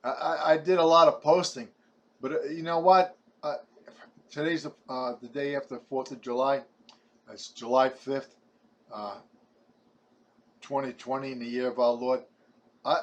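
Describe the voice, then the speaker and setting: Casting: man in his 70s, Brooklyn New York accent, halting chatty preacher-style vlogger, mid-pitched. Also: muffled recording. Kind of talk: rambling personal testimony to camera.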